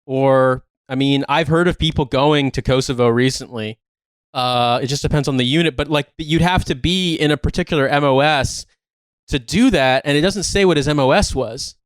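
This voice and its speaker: A man's voice: medium pitch, smooth, no echo. A man co-hosting a podcast in casual banter, talking in an emphatic, incredulous, sweary way. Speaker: podcast co-host.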